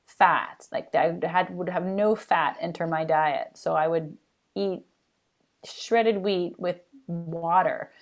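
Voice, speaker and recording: Woman, podcast guest, low-pitched voice, animated, quick storytelling. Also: faint music behind. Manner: animated, quick, laughs while recalling. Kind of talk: casual interview chat.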